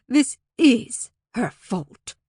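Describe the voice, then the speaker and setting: A woman voicing a scowling, frightened male Fae in a fantasy audiobook, forceful and accusing. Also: mid-range voice, big pitch swings, normal speed, dry recording, clean studio sound. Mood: accusing, angry. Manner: forceful, indignant. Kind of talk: audiobook character acting.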